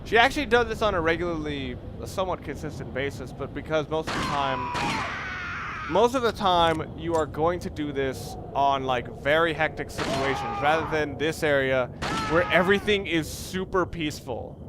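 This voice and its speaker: A young man with a fairly high voice talks through a video game in a loose, rambling way, often stopping to correct himself. Clear background noise sits under his voice along with the game audio. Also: animated, normal speed. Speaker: let's play commentator. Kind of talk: casual gameplay commentary.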